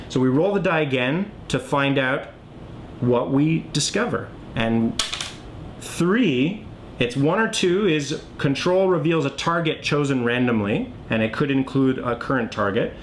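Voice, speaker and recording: A man giving a casual, unscripted board game tutorial, thinking aloud as he demonstrates the moves. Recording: clearly noisy, low rumble.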